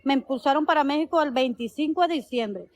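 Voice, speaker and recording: A woman's voice: high voice, fast talker, a little mellow. A woman from Venezuela, a guest on a call-in show, answering insistently and defending her case. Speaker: interview guest. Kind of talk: call-in interview.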